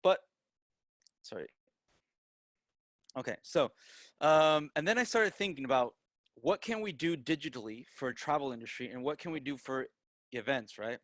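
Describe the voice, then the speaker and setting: A man's voice: medium pitch, animated, moderate pace, pauses often, fairly bright tone, no echo. American-accented man presenting, dry close sound. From Peru, raised in the United States. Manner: frequently tags statements with "right?". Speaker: guest presenter, digital marketer. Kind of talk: informal online presentation.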